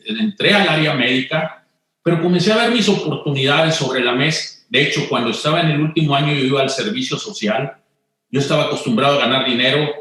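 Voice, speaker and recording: A man's voice: fairly high pitch, fairly bright tone. A middle-aged man with a Monterrey accent, a guest telling chatty anecdotes about his life in an interview. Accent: northern Mexican (Monterrey). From Monterrey, Mexico. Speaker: guest, business executive. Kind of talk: conversational interview. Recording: very echoey room.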